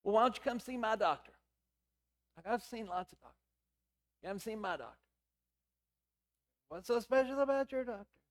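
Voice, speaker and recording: A middle-aged man preaching. His voice is hoarse and raspy yet sits fairly high. He tells stories in a conversational way, acting out the lines of dialogue. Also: expressive, moderate pace, long pauses. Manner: conversational storytelling with quoted dialogue. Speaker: pastor. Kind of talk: sermon.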